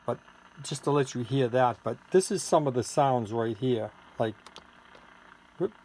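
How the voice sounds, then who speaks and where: A man giving a casual hands-on product demonstration as a seller, halting and thinking aloud while he works the controls. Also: mid-range voice. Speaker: seller demonstrating a homemade electronics gadget. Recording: clearly noisy, with electronic tweets and siren-like tones.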